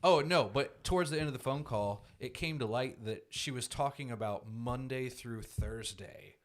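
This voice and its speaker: A man's voice: mid-range voice, normal speed. A man co-hosting a casual podcast, joking and swearing loosely. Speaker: podcast co-host, DJ.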